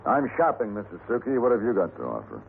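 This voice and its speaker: An actor playing a cool, calculating hired gunfighter in a measured, dry, urbane way, in 1950s western radio style. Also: male voice, lowish voice, wildly swooping pitch, creaky, exaggerated voice. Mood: dry, assured. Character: cool, calculating male hired gunfighter, mature and self-assured. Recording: tinny, noisy old radio recording.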